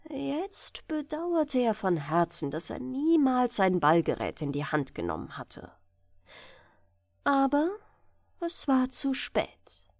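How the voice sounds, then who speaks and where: Lady reading a bedtime fairy tale, really slow n expressive, lots of up and down, dry close sound. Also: mid-range voice, no echo. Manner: expressive, careful storytelling. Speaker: audiobook reader.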